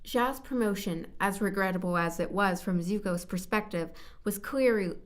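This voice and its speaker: A woman reading scripted narration as an amateur voice actor, halting and stumbling over words as she does retakes. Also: mid-range voice.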